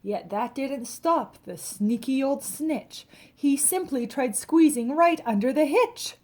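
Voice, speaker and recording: A woman reads a children's storybook aloud in a somewhat high voice. She leans into the rhymes with animated, dramatic emphasis, stretching out key words for young listeners. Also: slow. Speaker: storybook reader. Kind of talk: expressive read-aloud of a rhyming children's picture book.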